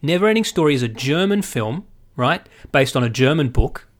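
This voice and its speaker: A man's voice: a little high, animated, moderate pace. Man chatting casually about movies. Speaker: podcast co-host.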